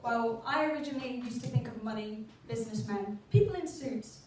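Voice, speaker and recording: Lively teen girl from England, echoey. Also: on the high side, brisk, a little hiss or hum. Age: teens. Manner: lively rhetorical opening. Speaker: student presenter. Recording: echoey room, mic far off.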